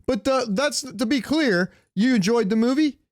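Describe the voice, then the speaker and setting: A man's voice: very high voice, normal speed, dry recording, clean studio sound. A man chatting casually as a podcast co-host in a rambling way, swearing now and then and asking questions. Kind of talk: casual chat about comics and movies.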